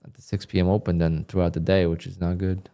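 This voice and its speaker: A man with a very low voice talks his viewers through a live trading session. His delivery is flat and dry, and it sounds a touch irritated even when he says he is pleased. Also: quick pace, vocal fry, clear, resonant tone. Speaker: day trader livestreamer. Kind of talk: live stream commentary, reading donations.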